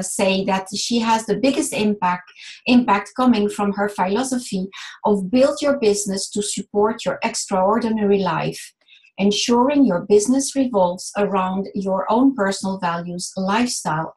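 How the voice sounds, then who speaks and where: A woman hosting an interview show, giving a formal, measured introduction and asking questions. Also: mid-range voice, low-quality recording. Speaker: show host.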